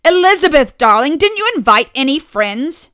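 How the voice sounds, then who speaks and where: A woman's voice: sits high, big pitch swings, moderate pace, sharp tone, dry recording, voice pushed up, exaggerated voice. A woman voicing an overbearing, self-centred mother in her seventies in a story, barking and gushing theatrically. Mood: nagging, loud. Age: 70s. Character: loud, overbearing elderly Southern mother, self-centred and nagging. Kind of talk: acted storytelling.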